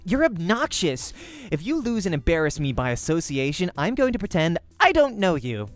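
A man's voice: on the high side, huge pitch swings, moderate pace, soft background music. Man putting on an annoyed, swooping, scolding gamer friend. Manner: scolding, blunt.

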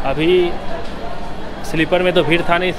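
A man's voice: fairly even intonation, moderate pace, low-quality recording. A man in his thirties narrating a train travel vlog to camera in an informative, explanatory way. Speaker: travel vlogger. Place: on a moving train and at open railway platforms. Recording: loud train, crowd and outdoor noise. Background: train rumble, station crowd.

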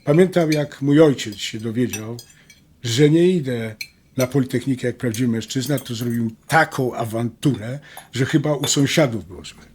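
An actor playing a calm, philosophical middle-aged husband and father in a naturalistic audio drama. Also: mid-range voice, moderate pace, lightly acted. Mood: earnest, reflective. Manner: philosophical, conciliatory. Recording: light background noise with tableware clinks.

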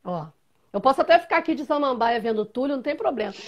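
Chatty high-voiced woman on headphones. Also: lively intonation, fast, highs cut off, a crowd in the background. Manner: warm, chatty, laughs easily. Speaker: guest singer on a live stream. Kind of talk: casual video-call chat. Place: room at home. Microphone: headset on a video call.

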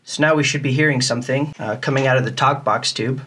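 A man's voice: mid-range voice, fast, noisy background. A man giving a casual, step-by-step tutorial in a plain, methodical way. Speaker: music tutorial host.

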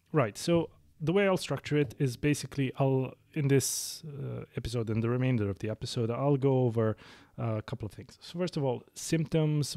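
A man in his thirties with a Romanian accent, hosting a medical podcast and explaining his clinical practice in a measured way, with frequent fillers and self-corrections. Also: medium pitch, creaky, darkish tone, no room sound. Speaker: lung specialist physician, podcast host. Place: small dark studio. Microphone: studio mic on boom arm.

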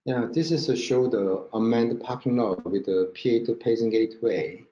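Mellow Korean-accented man in a roomy space. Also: medium pitch. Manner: halting non-native English with frequent check-in words. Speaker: company marketing director presenting a webinar. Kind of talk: product presentation over slides. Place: indoor room, online webinar with screen share. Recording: roomy, dull webinar audio.

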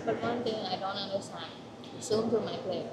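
Playful soft-spoken woman in a noisy mall. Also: mid-range voice, moderate pace. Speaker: vlog companion. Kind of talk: casual chat. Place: busy shopping mall.

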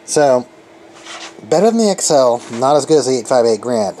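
A man's voice: medium pitch, big pitch swings, normal speed, warm tone. A man thinking aloud in a casual, rambling hands-on demonstration, recorded on a handheld camera in a garage workshop. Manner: rambling, informal. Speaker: radio repair hobbyist reviewing gear. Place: cluttered garage workshop with open door. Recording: noisy workshop with radio hiss and hum. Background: radio static and hum, ambient noise.

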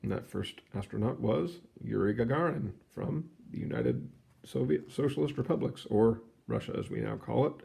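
A man reading a children's science book aloud in an expressive, explanatory storytelling manner. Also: mid-range voice, normal speed, gravelly, breathy edge. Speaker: read-aloud narrator.

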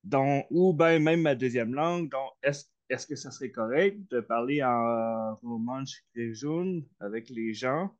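A man asking a question on a video call, halting and full of fillers and restarts. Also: mid-range voice. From Canada. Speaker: audience member asking a question. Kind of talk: hesitant spontaneous question. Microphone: computer mic on a video call. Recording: slightly dull video-call audio.

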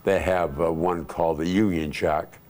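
An older man telling war memories in a casual interview, unhurried and rambling with many fillers, recorded on a lapel mic in a living room. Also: low voice, steady tone, darkish tone. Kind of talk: casual oral history interview. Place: living room, seated on couch.

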